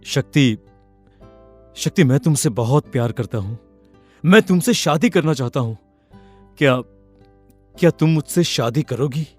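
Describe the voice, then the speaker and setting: A man's voice: medium pitch, animated, unhurried, long pauses, a little mellow, soft background music. A man voicing a charming, smitten young landlord's son in a cartoon story, polite and earnest.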